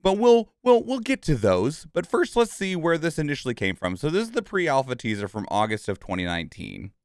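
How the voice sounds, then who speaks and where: Guy doing casual reaction commentary, really animated, kinda high voice, stumbles and thinks out loud. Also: fairly quick, smooth, no echo, studio quality. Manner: rambling, stammering, thinking aloud. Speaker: reaction channel host.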